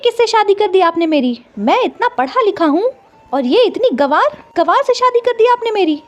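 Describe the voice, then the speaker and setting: A woman's voice: very high-pitched, very animated, moderate pace, pitched above the performer's own voice. A woman voicing an arrogant, contemptuous young male bird groom in a cartoon story. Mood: furious. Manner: indignant, contemptuous.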